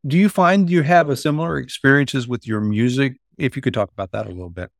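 A man's voice: medium pitch, fast, creaky, no echo, clean studio sound. A man hosting a casual interview, thinking aloud and rephrasing his questions as he goes. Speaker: interview host.

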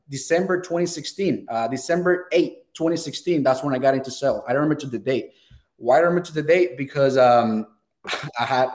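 A young man with a Venezuelan Spanish accent talks eagerly and casually as a podcast guest. He often restarts and repeats his words as he goes, at an ordinary, middle pitch. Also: darkish tone. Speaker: guest, real estate sales professional.

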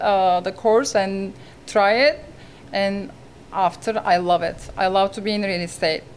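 A woman in her thirties, originally from Turkey with a Turkish accent and Turkish as her first language, talking in a podcast interview as a real estate agent in earnest, halting phrasing. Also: mid-range voice, a little hiss or hum.

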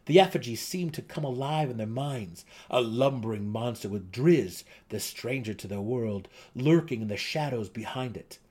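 A man's voice: medium pitch, animated, normal speed, few pauses, a little mellow, slightly rough. A man reading a fantasy novel aloud as a dramatic narrator. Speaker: audiobook narrator.

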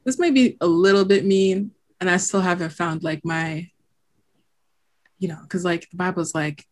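A woman's voice: on the low side, fairly even intonation, moderate pace. Young woman from haiti, no accent left, casual podcast guest, says "like" a lot, laughs easy. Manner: reflective, lots of "like" fillers, laughs often. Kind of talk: casual conversation.

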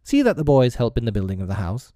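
A man's voice: mid-range voice, wildly swooping pitch, fast, mellow, dry recording, studio quality. A man voicing a bossy, earnest boy captain with a commanding, formal manner in a storybook reading.

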